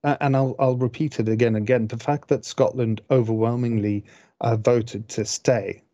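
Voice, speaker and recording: A man from Zimbabwe talking as a podcast panelist, explaining things casually in a careful, halting way. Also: medium pitch, steady tone, dark tone, no room sound. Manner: measured, careful with wording, halting. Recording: dull, dry close recording.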